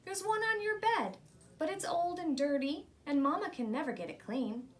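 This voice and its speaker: Storybook little girl, played by a woman: impatient, eager, high voice with swooping intonation. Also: animated, moderate pace. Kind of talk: children's storybook read-aloud.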